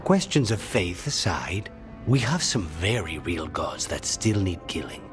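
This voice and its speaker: An actor playing a pragmatic adventurer in a fantasy video game, with a sardonic, matter-of-fact manner. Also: male voice, low voice, very animated, unhurried, music in the background. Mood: dry.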